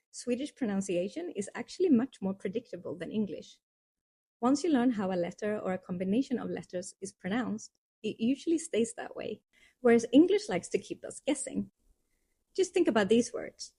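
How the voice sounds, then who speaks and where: A woman reading scripted narration for a language lesson in a calm, reassuring way, explaining step by step. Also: mid-range voice, breathy edge. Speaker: course narrator.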